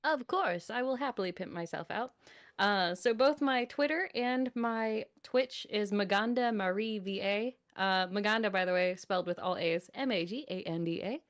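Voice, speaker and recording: A woman with a medium-pitched voice chats casually as a guest voice actor. She comes across as cheerful and quick to joke. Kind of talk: casual chat.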